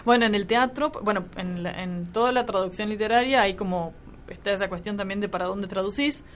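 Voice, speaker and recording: A woman's voice: mid-range voice, a little fast, slight background noise. A woman from Córdoba, Argentina, with a Córdoba accent, explaining translation thoughtfully in a radio interview. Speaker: translator, guest.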